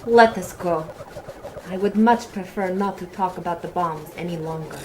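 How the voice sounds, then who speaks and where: A woman's voice: on the low side, normal speed, noisy background, close to an everyday voice. A woman playing a proud, honorable warrior from the far future, speaking formally and dramatically in an audio drama. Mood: troubled.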